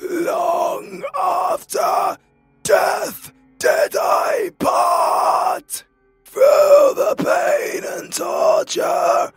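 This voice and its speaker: A man's voice: very high voice, vocal fry, no echo, exaggerated voice. A British man playing a tormented, starving undead husband in a horror audio roleplay, crying and pleading in anguish. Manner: anguished, melodramatic pleading in broken shouts.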